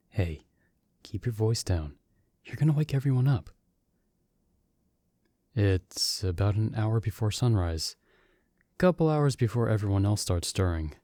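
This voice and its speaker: A man as a playful young sidekick, slow and mellow, lively pitch, close dry mic. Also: dry recording. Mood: hushed, urging. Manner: teasing, friendly and upbeat. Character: playful young man, the hero's friend summoned with him. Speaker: voice actor. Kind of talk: ASMR audio roleplay.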